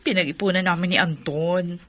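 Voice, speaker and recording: A woman acting a devoted, cheerful young mother in a radio drama, expressive and affectionate. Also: fairly low pitch, brisk. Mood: cheerful, grateful.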